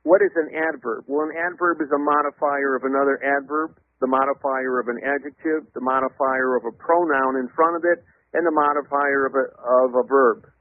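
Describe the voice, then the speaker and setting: A man calling in as a radio guest, lecturing emphatically in a loud voice and spelling out words letter by letter. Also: mid-range voice, steady tone, fairly quick. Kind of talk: radio call-in interview. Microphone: telephone. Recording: tinny phone line.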